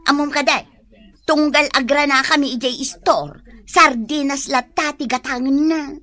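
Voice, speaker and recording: A woman's voice: high-pitched, a little slow, dry recording. A woman with an Ilocano accent playing a boastful, vain, taunting neighbour in a radio drama. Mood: mocking. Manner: taunting, sharp-tongued.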